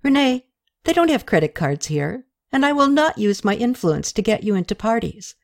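A woman voicing a patient young woman who answers softly and with mild sarcasm. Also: on the high side, sing-song, moderate pace, pitched above the performer's own voice.

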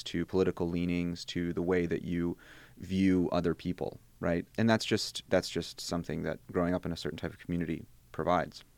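Grad student, twenties, native Bosnian speaker, low gravelly voice, thoughtful and wry. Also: male voice, darkish tone, no room sound. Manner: thoughtful, restarts phrases, wry asides. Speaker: interviewee, graduate student. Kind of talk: conversational interview.

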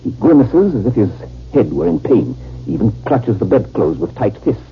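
Casting: sinister scheming physician, very animated intonation, deliberate emphasis, dull 1950s radio play recording. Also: male voice, mid-range voice, vocal fry, slight background noise. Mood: intense, dramatic. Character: secretive, calculating male doctor with sinister hidden identity. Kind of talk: 1950s radio drama acting.

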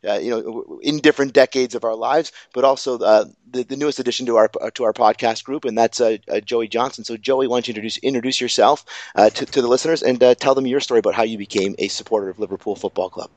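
A middle-aged man hosting a casual podcast, talking quickly at a mid pitch. He is chatty and trips over his words with fillers and repeats. His voice sounds close and dry. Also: darkish tone, dry recording. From Canada. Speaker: podcast host.

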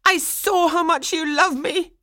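A woman's voice: medium pitch, steady tone, measured pace, dark tone, husky, dry recording, studio quality, voice pushed up. A woman voicing a jealous, heartbroken young bride-to-be in a storybook tale, wounded and dramatic. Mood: accusing, anguished.

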